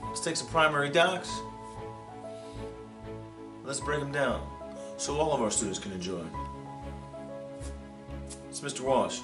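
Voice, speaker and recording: A man's voice: mid-range voice, expressive, a little slow, pauses often. An American man giving an informal history lesson as a video host, in a colloquial, emphatic, rhetorical way. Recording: roomy echo with music behind. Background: background music.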